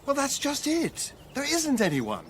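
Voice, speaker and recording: High-voiced, fretful English gentleman. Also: wildly swooping pitch, measured pace, slight background noise, heavily acted. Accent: British Received Pronunciation. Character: agitated upper-class English husband, proud and fretful. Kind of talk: radio drama acting.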